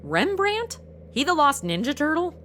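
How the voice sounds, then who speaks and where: A woman's voice: high voice, very animated, moderate pace, a bit bright, slight background noise. A young woman playing an irreverent, chatty modern sidekick with a sassy, casual manner in a comedic audio drama. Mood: wry. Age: young adult.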